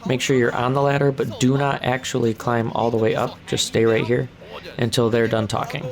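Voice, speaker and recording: Hoarse man calmly guiding a game. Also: mid-range voice, brisk. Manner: calm step-by-step instructions. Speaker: gameplay walkthrough narrator. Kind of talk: casual game walkthrough commentary.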